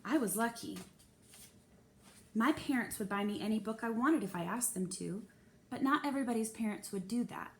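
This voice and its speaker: A woman's voice: fairly high pitch, normal speed. Woman reading a children's novel aloud, noisy recording. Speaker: storybook reader.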